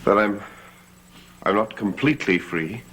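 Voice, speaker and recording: A man's voice: fairly low pitch, lively intonation, a little slow, a bit bright. A man playing the imperious, tormented master of a gothic hall in a TV soap, with formal, dramatic delivery. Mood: dazed, anxious. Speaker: actor in a TV serial. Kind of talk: gothic soap opera acting. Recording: old TV soundtrack, music, hum.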